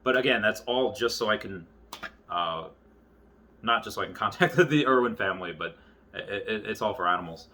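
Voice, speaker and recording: A man in his early thirties talking casually and off the cuff to viewers, rambling and often halting to circle back on his thoughts. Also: fairly low pitch, expressive, bright, forceful tone. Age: early 30s. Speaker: YouTuber. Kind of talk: casual unscripted monologue to viewers.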